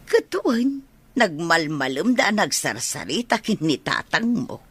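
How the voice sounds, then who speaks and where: An actress playing an inquisitive, caring middle-aged mother in a radio drama, with an Ilocano accent and a husky voice. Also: fairly low pitch, very animated, slow pace, a bit bright, heavily acted. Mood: motherly.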